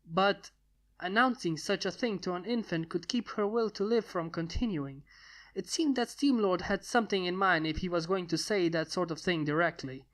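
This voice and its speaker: A woman reading a fantasy story aloud as scripted, expressive narration. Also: lowish voice. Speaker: fan fiction reader.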